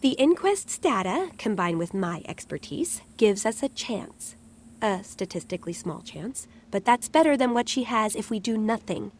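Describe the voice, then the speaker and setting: A woman playing a sharp-witted gnome-like inventor in a video game, brisk, technical and blunt. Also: fairly high pitch, lively intonation, a little hiss or hum, natural voice. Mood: earnest, blunt. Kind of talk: video game cutscene acting.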